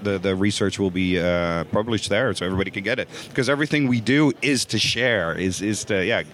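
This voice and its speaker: A man's voice: on the low side, a little fast, fairly bright tone, slight background noise. A man from the Netherlands with a Dutch accent, a guest on a podcast interview, talking earnestly with many fillers and restarts. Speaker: guest, voice technology consultant.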